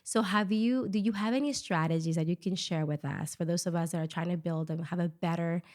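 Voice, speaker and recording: Emphatic woman, low voice. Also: brisk, a little mellow. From Dominican Republic. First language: Spanish. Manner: emphatic, motivational, asks "right?" often. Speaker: podcast co-host. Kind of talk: casual podcast conversation.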